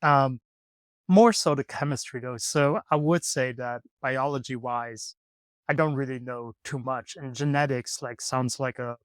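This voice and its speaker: A man hosting a podcast, talking in an easygoing, halting way with many pauses and "um"s. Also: mid-range voice, smooth, dry recording. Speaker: podcast host. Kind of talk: casual interview chat. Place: hostel room.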